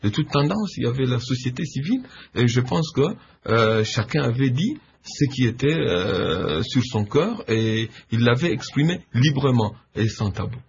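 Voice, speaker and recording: Gravelly husky man on radio. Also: mid-range voice, expressive. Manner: hesitant, many fillers, measured. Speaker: civil society leader, interviewed guest. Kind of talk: phone-in radio interview answers. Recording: dull lo-fi radio line.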